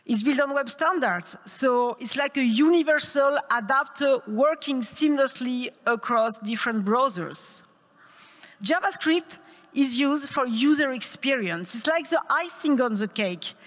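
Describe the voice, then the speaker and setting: Upbeat French woman presenting at a conference, high voice, long hall reverb. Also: lively intonation, measured pace, fairly bright tone. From France. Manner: upbeat, asks the audience questions. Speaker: conference speaker. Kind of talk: tech conference talk.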